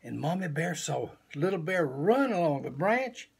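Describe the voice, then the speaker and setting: A man in his seventies reading a children's picture book aloud expressively in a small room at home. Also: fairly high pitch. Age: 70s. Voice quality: gravelly, husky. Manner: slow, deliberate, animated.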